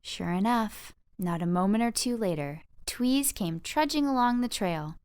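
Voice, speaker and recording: A woman narrating a scripted children's audiobook with expressive bedtime storytelling. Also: medium pitch, measured pace, clear, resonant tone, no echo.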